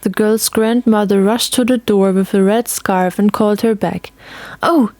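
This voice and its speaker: A woman narrates a scripted story at a medium pitch. The recording is dry and close, with no room around the voice. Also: steady tone, normal speed, a little mellow, no echo.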